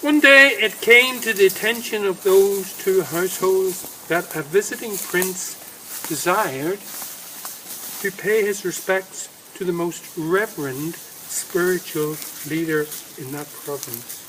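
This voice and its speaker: A man reading a story aloud outdoors in a dramatic, deliberate manner, with emphatic phrasing and pauses. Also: high-pitched, bright, forceful tone, poor audio. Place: outdoors in woodland. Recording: faint outdoor background noise. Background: faint woodland ambience.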